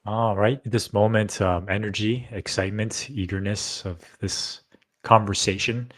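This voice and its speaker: A man's voice: fairly low pitch, fairly even intonation, measured pace. A man co-hosting a casual live-streamed talk, loose and rambling, with many fillers as he introduces and checks in. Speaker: co-host.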